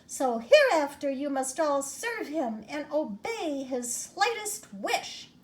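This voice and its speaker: A woman voicing a tiny, proud female mouse queen with a squeaky little voice in a storybook reading. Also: sing-song, slow, exaggerated voice. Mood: commanding.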